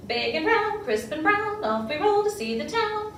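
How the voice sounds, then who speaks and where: Storybook role: woman as gleeful runaway pancakes, bouncy sing-song taunts, high and swooping. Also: big pitch swings, normal speed, a bit bright, heavily acted. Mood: gleeful, taunting. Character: gleeful cheeky runaway potato pancakes chanting a rhyme. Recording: echoey room, distant mic, faint noise.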